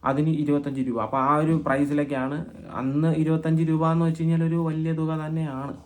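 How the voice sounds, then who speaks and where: A man from Kerala hosting an informal explainer vlog, speaking haltingly and often repeating phrases as he thinks aloud. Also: a little high, level tone, darkish tone. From Kerala, India. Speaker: video host and cassette collector.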